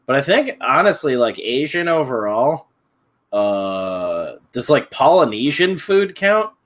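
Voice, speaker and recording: A man's voice: medium pitch, big pitch swings, measured pace, a bit bright. A young man co-hosting a casual chat, rambling with many fillers and some swearing. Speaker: podcast co-host.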